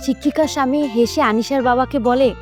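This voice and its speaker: A woman reads a scripted story for young children in the role of storyteller. Her voice sits a little high. Music plays behind her narration. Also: moderate pace.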